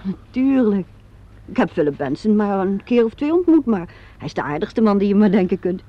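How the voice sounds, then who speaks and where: A woman's voice: medium pitch, big pitch swings, brisk, lightly acted. An actress playing a self-possessed, teasing woman of the theatre in a radio drama, bantering and flippant. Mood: composed. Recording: dull lo-fi radio play recording.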